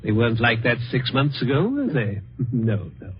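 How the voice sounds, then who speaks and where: An actor playing a sly, mock-courteous older gentleman plotting murder, in the style of old-time radio suspense. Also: mid-range voice, big pitch swings, moderate pace, natural voice. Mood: mock courtesy. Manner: mock-courteous, chuckling, savouring his words. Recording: muffled, noisy vintage radio recording.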